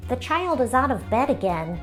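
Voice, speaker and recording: A little female monster from a picture book, as a woman reader puts her on. The voice is high and chirpy, tipping into a whine, with hugely swinging pitch over quiet music. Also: big pitch swings, normal speed. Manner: whiny, sing-song. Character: small red female monster, chirpy, whiny, eager.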